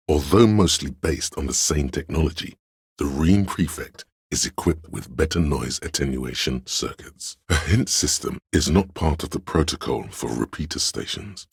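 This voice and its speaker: A man voicing a grave, knowing encyclopedia inside a detective's mind in a video game, with measured, solemn, erudite narration and a gravelly, husky bass. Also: no echo, studio quality, full character voice. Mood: dry, learned.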